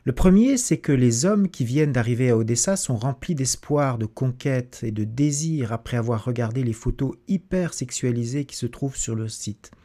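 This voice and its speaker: A man narrating a documentary in a measured, analytical scripted voice-over. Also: medium pitch, lively intonation, mellow.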